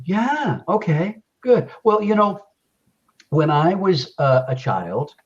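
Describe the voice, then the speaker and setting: A man's voice: high-pitched, sing-song, slow, breathy edge. A man tutoring English in casual conversation, encouraging and repeating phrases for emphasis over a video call. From Canada. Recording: dull lo-fi video call audio.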